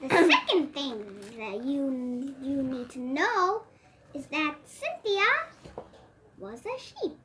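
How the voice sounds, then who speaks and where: A very high, youthful voice chatting playfully and helping to read a picture book aloud. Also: big pitch swings, slow, noisy background. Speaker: young co-reader of a picture book.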